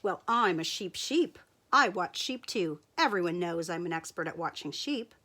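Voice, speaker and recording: A chirpy, know-it-all girl sheep, put on by a woman reading a picture book aloud. She talks loudly and eagerly, her pitch swinging up and down with every line. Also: lively intonation, moderate pace. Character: cheerful dancing female sheep, bossy know-it-all.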